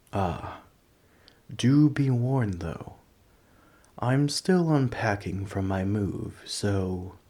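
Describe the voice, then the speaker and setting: A man playing a large, ancient, nerdy dragon in an ASMR roleplay, polite and earnest but a little huffy, with a soft voice. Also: on the low side, slightly rough, exaggerated voice. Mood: eager, shy pride. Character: large, ancient, nerdy gentle male dragon, politely indignant coin collector. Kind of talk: ASMR roleplay audio drama.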